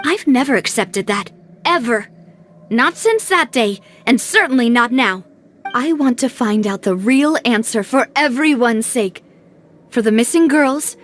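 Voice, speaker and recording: A proud young lady of a game drama, wounded but resolute. Her voice is high, and she speaks in an emphatic, well-spoken, emotional way over faint music. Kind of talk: dramatic video game cutscene acting.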